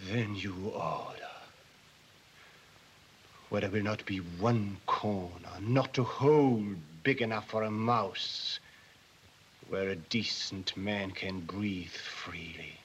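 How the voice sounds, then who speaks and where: An actor playing a principled older German émigré leader, speaking with deliberate, impassioned dignity in a 1940s film drama. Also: male voice, lowish voice, a little hiss or hum. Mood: quiet, resolute. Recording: dull 1940s film soundtrack.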